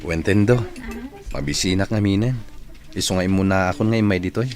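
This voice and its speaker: A man's voice: deep voice, a little fast, close to an everyday voice. A man with an Ilocano Filipino accent playing a modest young trainee in a radio drama. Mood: friendly. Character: modest young male trainee named Alfred.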